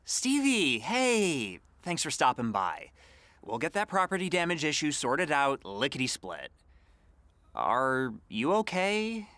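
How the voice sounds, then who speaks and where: A mid-pitched, slow voice with lively intonation from an actor plays a hesitant office assistant. The character is casual, friendly and well-meaning, in a comedy audio drama. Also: no echo, full character voice. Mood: awkward, friendly.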